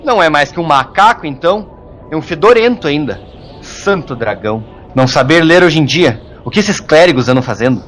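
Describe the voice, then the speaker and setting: A man with a Brazilian accent playing a gruff, insulting hermit monk with magic powers in an audio story. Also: a little high, lively intonation, a little fast, fairly bright tone. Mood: scornful. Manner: gruff, scornful, exasperated. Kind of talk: audio drama acting.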